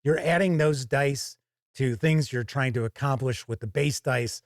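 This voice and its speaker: A man hosting a casual product review, talking deliberately and explaining things step by step with conversational asides. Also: medium pitch, no echo, clean studio sound. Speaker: reviewer and show host.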